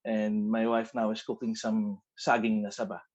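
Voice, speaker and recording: A man's voice: lowish voice, completely flat delivery, moderate pace, darkish tone, lo-fi sound. A man introducing himself on a video call in an easygoing way.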